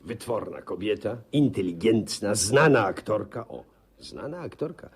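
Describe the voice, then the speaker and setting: An actor in a 1950s Polish radio comedy playing a naive husband in awe of an old schoolmate, gushing, stammering and repeating himself. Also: male voice, on the low side, animated, unhurried. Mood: earnest, anxious. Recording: slightly muffled 1950s radio play recording.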